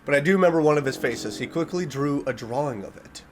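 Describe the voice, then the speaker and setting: A man's voice: fairly high pitch, lively intonation, quick pace, slight background noise. A man giving casual reaction commentary, reading aloud and reacting expressively, drawing out words for emphasis. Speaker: reaction video host.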